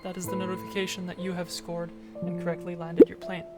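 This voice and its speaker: Flat-toned woman giving a project presentation. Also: fairly low pitch, quick pace, loud music behind the voice. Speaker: student presenter. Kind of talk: informal presentation with game demo narration.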